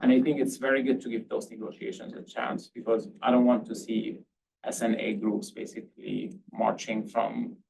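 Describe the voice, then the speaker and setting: A man's voice: mid-range voice, little pitch movement, moderate pace, vocal fry, darkish tone. A man from Syria speaking as a panelist at a policy discussion, often hedging and restarting his sentences with um and I mean. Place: panel event hall. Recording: distant mic, faint background noise.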